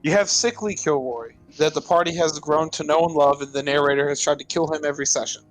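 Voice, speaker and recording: A man's voice: on the high side, fast talker, soft background music, rough recording. A man playing a tabletop roleplay game, explaining things casually to the group.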